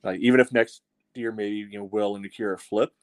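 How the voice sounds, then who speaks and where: A man co-hosting a soccer fan show, giving casual analysis and measured, qualified opinions. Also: fairly low pitch, fairly even intonation, dry recording.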